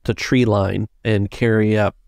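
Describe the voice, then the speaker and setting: A man's voice: fairly low pitch, little pitch movement, a little slow, vocal fry, a little mellow, clean tone, no room sound, clean studio sound. A man acting as game master, narrating scenes and refereeing a tabletop role-playing game.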